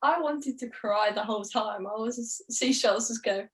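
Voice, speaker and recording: A woman's voice: a little high, normal speed. A woman chatting casually on a podcast with a dramatic, plaintive delivery. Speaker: motorsport podcast co-host. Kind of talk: casual race review chat.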